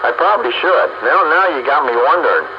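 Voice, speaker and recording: A man's voice: on the high side, very animated, fast talker. A man in casual, folksy, easygoing banter as an amateur radio operator, coming through a radio transmission. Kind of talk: casual chat over ham radio. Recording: muffled radio with clear static noise.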